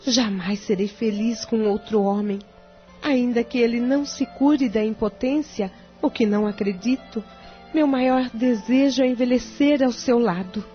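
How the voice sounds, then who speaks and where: A woman's voice: fairly high pitch, unhurried, lightly acted. A Brazilian woman playing a devoted, earnest wife in an audio drama, speaking with emotion. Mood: loving, emotional. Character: devoted, earnest wife pleading in divorce court. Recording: dull lo-fi audio, music underneath.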